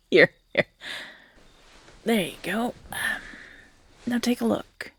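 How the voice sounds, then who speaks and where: A woman's voice: on the high side, takes it slowly, heavily acted. A woman playing a giggly, whispering captor. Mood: teasing menace. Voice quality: whispery, breathy. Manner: teasing, giggling between lines. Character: giggly unhinged female captor, young adult. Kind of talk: whispered audio roleplay to listener.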